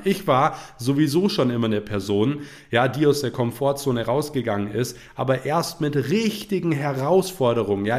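Young guy with a cold doing a motivational podcast rant, earnest, keeps saying ja. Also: medium pitch. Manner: earnest, repetitive, frequent "ja" fillers. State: has a cold. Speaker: podcast host.

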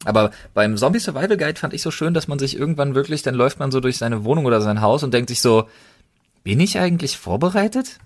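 A man's voice: mid-range voice, animated, fast. A man hosting a casual podcast, recommending things in an enthusiastic, colloquial way. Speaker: podcast host.

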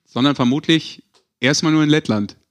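A man's voice: fairly high pitch, moderate pace. A man co-hosting a sports podcast, bantering casually in a teasing, ironic way. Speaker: podcast host.

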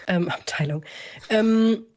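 A woman's voice: mid-range voice, slow pace, darkish tone. Enthusiastic, inquisitive woman co-hosting. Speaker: podcast co-host. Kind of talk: casual interview chat.

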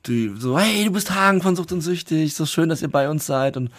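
A man hosting a podcast, chatting casually and telling stories in a lively way. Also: a little high, fairly quick, dry recording, studio quality. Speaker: podcast host. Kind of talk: casual chat.

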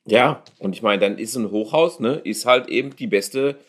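A man's voice: medium pitch, normal speed, a bit bright. A man from the Ruhr area interviewing a guest in casual conversation, slangy and agreeable, with lots of fillers. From Ruhr area, Germany.

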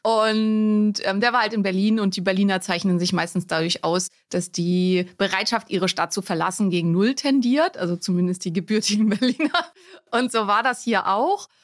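A woman doctor from Schleswig-Holstein answers as a podcast guest, chatty and self-assured with a wry edge. Her voice sits at a middle pitch. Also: normal speed. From Neumünster, Schleswig-Holstein. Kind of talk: casual interview answers.

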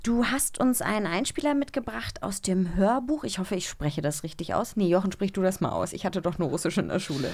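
A woman's voice: medium pitch, animated, brisk, few pauses, darkish tone. A woman co-hosting a casual podcast, talking in an effusive, enthusiastic way. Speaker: podcast co-host. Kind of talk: casual chat.

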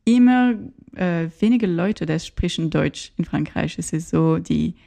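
A woman's voice: lowish voice, animated, gravelly, warm tone. A woman from Paris speaking German as a second language with a French accent, a podcast guest in casual chat who halts and searches for words. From Paris, France. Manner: halting, searching for words, many fillers.

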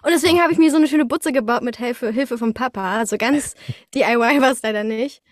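Woman podcast guest, bit high voice, informal chat, really dry close booth sound. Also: a little fast, dry recording. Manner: informal, conversational. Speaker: guest, professional voice actress. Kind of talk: casual chat, interview answers. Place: small home recording booth.

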